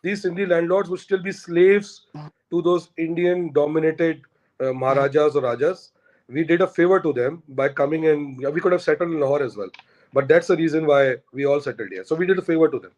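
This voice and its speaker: A man's voice: on the high side, a little fast, darkish tone, poor audio. A man, an Urdu speaker, arguing his points emphatically in a conversational discussion. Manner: emphatic, argumentative, repeats points. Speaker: guest.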